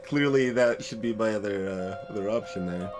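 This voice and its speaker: A man doing casual live game commentary as a streamer, thinking aloud in a halting, wry way. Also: lowish voice. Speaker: let's play streamer. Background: game music.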